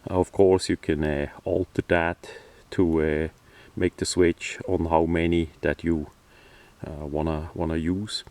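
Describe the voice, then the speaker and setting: Slow, low-voiced man, halting delivery. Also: little pitch movement, darkish tone. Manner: halting, hesitant, matter-of-fact delivery. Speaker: hobbyist presenter. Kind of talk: casual hands-on product demonstration. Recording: distant microphone.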